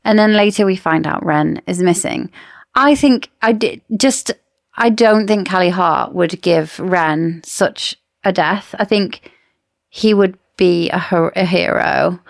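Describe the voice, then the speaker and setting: Creaky-voiced woman podcaster, close and dry. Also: lowish voice, slow pace, no room sound. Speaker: podcast co-host. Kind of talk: casual book discussion podcast.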